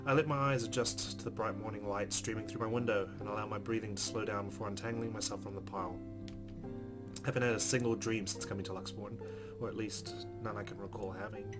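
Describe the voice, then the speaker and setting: Man narrating over clear game music. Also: on the low side, fairly even intonation, fast. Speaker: let's play host. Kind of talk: reading game text aloud with casual asides.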